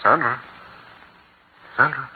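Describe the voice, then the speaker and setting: A man's voice: medium pitch, slow, natural voice. An actor playing a devoted husband and doting father with earnest, emotive delivery in an old radio drama. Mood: gentle, coaxing. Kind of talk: 1940s radio drama acting. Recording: muffled, lightly noisy old radio recording.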